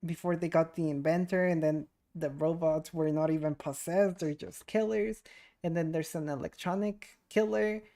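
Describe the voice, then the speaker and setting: A woman's voice: low-pitched, steady tone, normal speed, warm tone. A woman streamer giving casual gameplay commentary with offhand, joking asides. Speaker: VTuber streamer.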